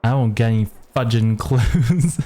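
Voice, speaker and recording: A man's voice: mid-range voice, measured pace, warm tone. A man streaming a game with casual, joking reaction commentary, often echoing lines in jest.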